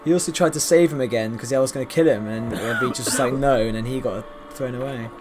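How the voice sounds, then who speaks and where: A man's voice: mid-range voice, fast. A man giving casual, chatty live commentary on a video game, reacting aloud and talking to his viewers. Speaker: streamer. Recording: game music behind the voice. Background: video game music and sound.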